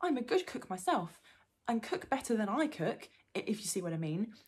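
Woman as a stammering, high-pitched little hobbit. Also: very animated, normal speed, slightly rough. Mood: pleading. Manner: stammering, pleading, polite. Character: small, frightened, polite male hobbit.

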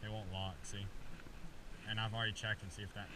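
A man's voice: lowish voice, little pitch movement, a little fast. A young man chatting casually and slangily while fishing, given to exclamations, recorded outdoors on a small boat. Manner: slangy, exclaiming, talks to the fish. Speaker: fishing vlogger. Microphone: action camera worn on the body. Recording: distant mic, clear outdoor ambient noise.

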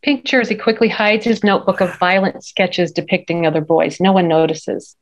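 A woman's voice: lowish voice, steady tone, normal speed, low-quality recording. A middle-aged woman reading stage directions at a film script table read. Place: indoor room at home on a video call.

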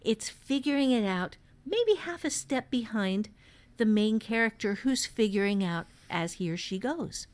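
A woman's voice: on the high side, animated, a little slow, darkish tone. Woman giving emphatic conversational advice. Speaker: podcast co-host and novelist giving writing advice.

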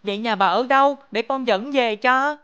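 A woman's voice: a little high, normal speed, fairly bright tone, clean tone, lo-fi sound, lightly acted. A woman voicing an eager, demanding, innocent little boy in a children's cartoon, childlike and pleading, with a southern Vietnamese accent. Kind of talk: animated children's cartoon dubbing. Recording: music under the voice.

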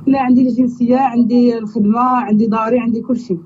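A man's voice: very high-pitched, little pitch movement, very fast. A man putting on the voice of a chatty young woman from abroad looking for a husband, speaking with a Moroccan accent on a prank phone call. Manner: chatty, pleading, repeats "you understand?". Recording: dull lo-fi sound with faint noise.

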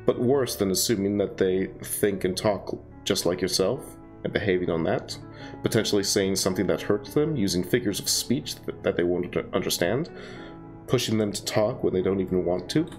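A man voicing a poised, precise young woman student who explains things in a measured, clinical way. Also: deep voice, soft background music, lightly acted. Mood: composed.

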